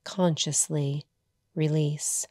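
A woman leading a guided meditation as a yoga nidra teacher, soothing and hushed, leaving long pauses between short phrases. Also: sits low, steady tone, dry recording, clean studio sound.

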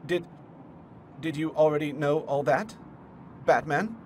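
Man playing nervy puzzle villain, smug, explanatory, highish pitch, faintly noisy recording. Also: measured pace, a little mellow, natural voice. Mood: explaining, nervy. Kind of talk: comic fan dub acting.

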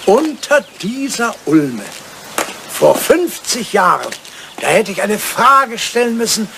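A man's voice: high voice, very animated, slow pace, vocal fry, background noise, full character voice. An older man playing an ageing, cynical English lord in a German radio play, blustering and roguish, often hesitating. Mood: wistful. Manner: blustering, hesitant, tagging questions with "ne?".

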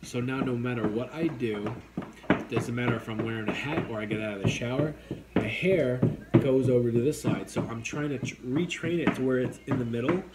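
A man in his late thirties, chatting loosely at a dinner table in a dim room at home, swearing and restarting his sentences. The voice sits at a middle pitch for a man, and a steady background noise runs under the recording from a fixed camera. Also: a little fast. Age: 30s. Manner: loose, profane, rambling with restarts. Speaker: co-host of a livestream show. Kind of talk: casual late-night chat with viewers.

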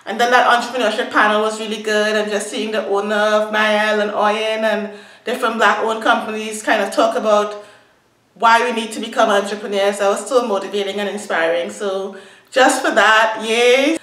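A woman's voice: medium pitch, steady tone, moderate pace, fairly bright tone, reverberant, far from the mic, poor audio. A young woman giving a casual, upbeat review to camera, thinking aloud as she goes. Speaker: vlogger. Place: small room indoors. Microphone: camera in front of her.

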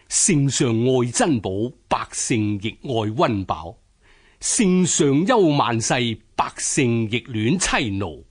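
An actor playing an earnest, troubled official, solemn and measured, in a radio drama. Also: male voice, mid-range voice, husky, full character voice. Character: earnest, troubled male official and loyal husband.

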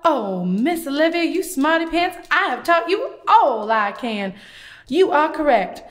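A woman puts on a very high-pitched puppet character for a children's show. The puppet is friendly and cheerful, telling Bible stories in a folksy, teacherly way. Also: very animated, unhurried. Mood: encouraging.

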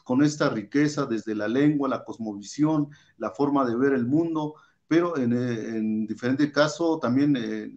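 A man's voice: mid-range voice, a little slow, a little mellow. Hesitant Mexican man on a video call. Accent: Mexican Spanish. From Oaxaca, Mexico. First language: Mazatec. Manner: hesitant, many fillers "este". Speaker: guest artist. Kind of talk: casual interview talk. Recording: dull lo-fi video call audio.

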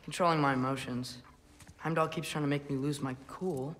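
A man's voice: on the high side, fairly even intonation, brisk, fairly bright tone, slight background noise, close to an everyday voice. A man playing an earnest, guarded teenage boy hero in a video game, speaking with restraint. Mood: guarded, calm. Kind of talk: video game cutscene acting.

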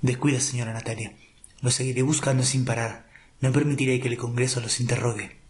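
A man's voice: medium pitch, steady tone, brisk, darkish tone, natural voice. A man voicing a devoted male vampire servant in an audio drama, formal, deferential, earnest and protective. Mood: dutiful. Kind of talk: Spanish-language ASMR roleplay audio drama.

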